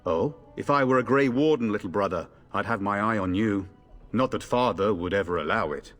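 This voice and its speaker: Casting: cocky, teasing young noble warrior for a fantasy game; lower register, music behind him. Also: male voice, animated, fairly quick, a bit bright, long reverb tail. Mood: confident, joking. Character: jaunty, teasing young nobleman soldier, husband and father. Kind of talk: video game voice acting.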